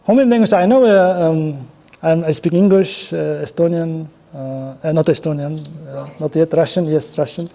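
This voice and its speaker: High-voiced, mellow Uzbek-accented man presenting. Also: gravelly. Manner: halting, choppy non-native English. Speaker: conference speaker running an audience networking game. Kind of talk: informal interactive presentation to an audience.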